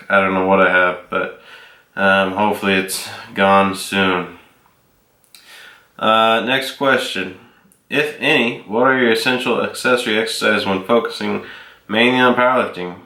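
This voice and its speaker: A young man with a cold, sounding congested and nasal, casually answering viewer questions about lifting with many ums and the odd cough. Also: fairly low pitch. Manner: relaxed, rambling with frequent ums and coughs. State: sick, congested and sore-throated. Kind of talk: casual Q and A vlog. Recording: echoey room.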